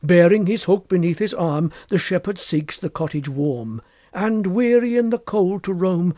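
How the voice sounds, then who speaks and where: A man reading poetry aloud in an expressive literary style, with measured and deliberate phrasing. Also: high-pitched, no echo, studio quality. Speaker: poetry reader.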